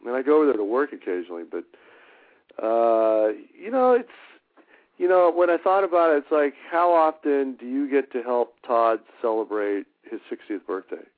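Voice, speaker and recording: Halting man on a phone line. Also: mid-range voice, no room sound. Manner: halting, warm-hearted, many fillers and restarts. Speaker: musician guest. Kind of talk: casual radio interview. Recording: dry, tinny phone line.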